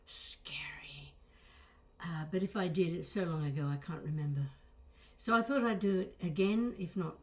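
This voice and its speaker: A woman's voice: deep voice, a little mellow. An older woman voice coach giving an informal lesson, conversational and digressive with wry asides, with a slight crackle and small breaks in her voice. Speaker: voice coach and presenter. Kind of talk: informal instructional talk to camera.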